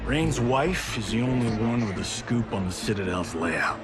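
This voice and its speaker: An actor playing a folksy, roguish guide in a video game, talking in a casual, slangy way. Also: male voice, on the low side, lively intonation, normal speed, lightly acted. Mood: breezy, cautioning. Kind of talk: video game drama acting. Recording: loud music behind the voice. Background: loud cinematic music.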